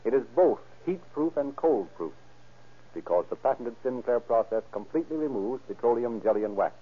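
A man's voice: on the low side, slightly rough. A man narrating and announcing in a formal, declamatory, scripted 1930s radio style. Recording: muffled, lo-fi old radio recording.

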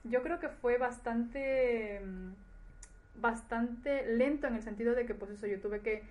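Podcast guest: female, slightly high-pitched, Ecuadorian accent with Spanish influence, conversational personal storytelling. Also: slow, a little mellow. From Ecuador, later grew up in Spain. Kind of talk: casual conversation, personal recollection.

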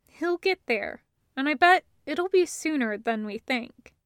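A woman voicing an earnest, excitable nerdy inventor boyfriend in an audiobook, with a rambling, reassuring, casual way of talking. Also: sits high, measured pace, dry recording, clean studio sound. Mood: earnest reassurance. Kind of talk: acted dialogue in an audiobook reading.

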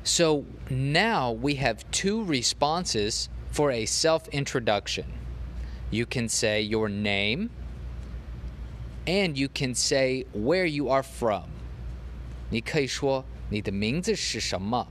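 Slow, lively-pitched man teaching. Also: slight background noise. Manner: repeats example phrases slowly for learners. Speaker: language teacher. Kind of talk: podcast language lesson.

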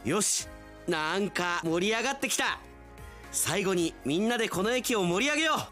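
A man playing an earnest, sentimental young railway station worker in a theatrical, expressive radio drama style. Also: high-pitched, moderate pace, soft background music. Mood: excited.

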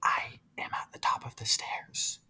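A man voicing a ghost of a bloody finger in a breathy, unvoiced whisper from a distance. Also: a little slow, pushed and bright. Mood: eerie whisper.

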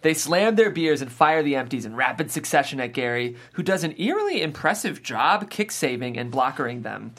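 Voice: medium pitch, big pitch swings, a little fast, hardly any pauses, a bit bright. A narrator reading the stage directions of a comedy script aloud at a table read.

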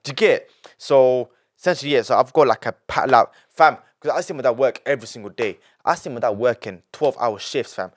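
Animated young Londoner telling stories. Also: male voice, medium pitch, fast, hardly any pauses. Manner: animated, repeats himself, heavy street slang. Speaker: young entrepreneur guest. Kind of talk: casual podcast storytelling.

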